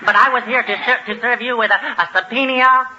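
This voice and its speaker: A very high, prissy voice plays a flustered comic lawyer. He stammers and doubles back on his words in old radio comedy style, on a dull, clearly noisy 1940s recording. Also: fairly even intonation, fast talker. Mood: fussy, scolding. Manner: stammering, hesitant, repeats himself.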